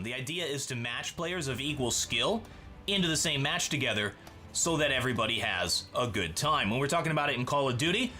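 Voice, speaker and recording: Bright man explaining methodically. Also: medium pitch. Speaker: gaming video creator. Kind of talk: explanatory video narration.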